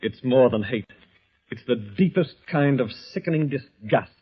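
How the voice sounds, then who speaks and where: A captive English resistance leader in an old radio drama. He is sardonic and defiant, with an animated, mid-pitched voice on a muffled vintage recording. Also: normal speed, breathy edge. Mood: bitter disgust. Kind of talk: 1940s radio acting.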